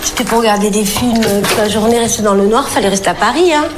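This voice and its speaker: A woman's voice: medium pitch, quick pace, natural voice. An actress playing a nagging woman who scolds a relative for watching films all day, heard in a film clip with household noise. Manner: scolding, insistent.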